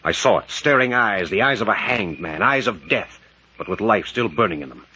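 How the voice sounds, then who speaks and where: Vintage radio hero, dull noisy sound: bold captain, intense and forceful. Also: male voice, fairly low pitch, expressive, normal speed. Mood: horrified. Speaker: hero and narrator. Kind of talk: 1940s radio acting, dramatic narration.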